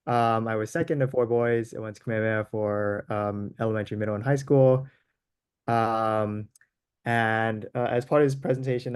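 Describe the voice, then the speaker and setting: A man in his thirties from Hawaii, giving a casual lecture over a video call, hesitant with many pauses and fillers. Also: medium pitch, smooth, dry recording. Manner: hesitant, many ums and restarts. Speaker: guest lecturer.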